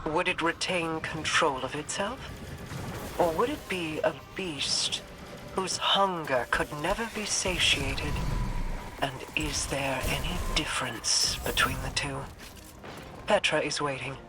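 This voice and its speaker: A woman playing an imperious, composed sorceress queen in a video game, speaking in a formal, measured, commanding manner. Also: low-pitched, music in the background. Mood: grave, musing. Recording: clearly noisy game ambience behind voice.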